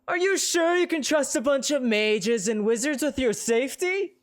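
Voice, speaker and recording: A narrator voicing a self-righteous blond man who preaches loudly against magic in slow, declaiming speech. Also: high voice, lively intonation, voice pushed up. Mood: preaching.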